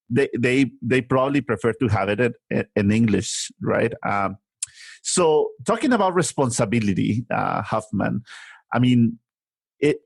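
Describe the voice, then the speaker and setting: A man's voice: mid-range voice, no echo. A man from Mexico, a native Spanish speaker with a Mexican accent, interviewing his guest in a thoughtful, reflective way and often checking in with "right?".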